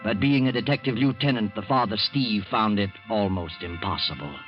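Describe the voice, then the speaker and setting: An actor playing a mysterious narrator who walks by night, telling a tale slowly and ominously in a 1940s radio drama. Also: male voice, lowish voice, close to an everyday voice. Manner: slow, ominous storyteller. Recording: dull old radio broadcast with music.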